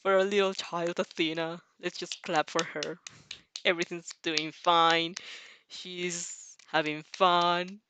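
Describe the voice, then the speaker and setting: A woman's voice: on the low side, little pitch movement, slow, pushed and bright, slight background noise. A woman reading fan fiction aloud expressively, with casual asides to her listeners. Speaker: reader and fanfic reactor. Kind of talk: expressive story narration with casual reaction asides.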